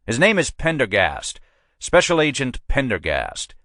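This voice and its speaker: A man reads a blustering, pushy police captain who barks his orders in a raised, lively voice that swoops up and down. The sound is close and dry, with no room around it. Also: medium pitch, measured pace, no room sound, voice pushed up, full character voice. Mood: commanding. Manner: brusque, pushy, emphatic. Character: blustering middle-aged male police captain.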